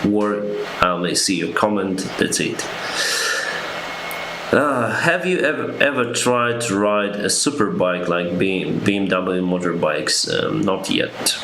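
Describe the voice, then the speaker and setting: A young man, an airline pilot answering viewer questions on a live stream, reading each one out and replying with frequent pauses and ums. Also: low-pitched. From Ukraine. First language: Russian. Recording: echoey room with background noise.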